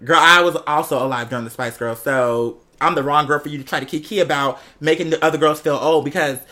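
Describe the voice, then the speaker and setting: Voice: mid-range voice, fast, bright, forceful tone. A young adult with an American accent telling gossipy stories to followers in a sassy, slangy, emphatic way, repeating phrases for effect. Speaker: vlogger addressing patrons. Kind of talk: casual gossipy storytelling.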